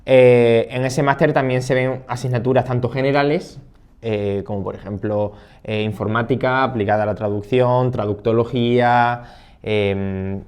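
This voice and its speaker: A man's voice: mid-range voice, fairly even intonation, moderate pace, darkish tone, clear, resonant tone. Hesitant young man, casual chat. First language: Spanish. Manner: hesitant, many fillers and restarts. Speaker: interpreting master's student.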